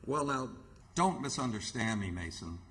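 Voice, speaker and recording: Casting: man as diplomatic hospital boss, medium pitch, businesslike, cautious, radio play with faint noise. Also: lively intonation, moderate pace, a bit bright, natural voice. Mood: tactful. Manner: tactful, businesslike, slightly hesitant. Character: polite but cautious hospital supervisor. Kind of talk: old-time radio theatre acting.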